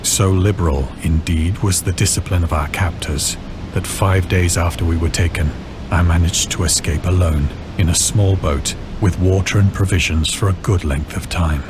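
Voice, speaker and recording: A very deep male voice of an actor plays a lost ship's officer recounting his story in a narrative game. The delivery is formal, solemn and measured. A clear bed of sea noise runs behind it. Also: fairly even intonation, close to an everyday voice. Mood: grave, recounting. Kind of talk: literary first-person game narration.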